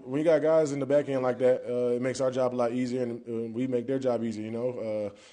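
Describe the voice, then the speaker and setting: Young male athlete, medium pitch, warm tone, casual and chatty at the podium. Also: flat delivery, fast talker. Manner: casual, conversational, drops "man" often. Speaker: football player. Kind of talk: post-game press conference answers. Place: press conference room, indoors. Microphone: podium mic in front.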